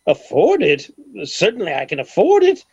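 Pompous, swooping eccentric millionaire. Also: male voice, sits high, wildly swooping pitch, moderate pace, a little mellow, breathy edge, full character voice. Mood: conspiratorial, dramatic. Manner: theatrical, grandiloquent, flowery formal speech. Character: pompous eccentric millionaire, obsessed bird egg collector. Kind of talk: old-time radio comedy acting. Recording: dull old radio recording.

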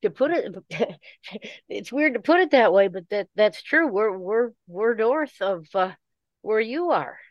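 A woman's voice: medium pitch, expressive, dry recording. Older woman on dull, dry call audio. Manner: halting, repeats words. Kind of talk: casual group chat.

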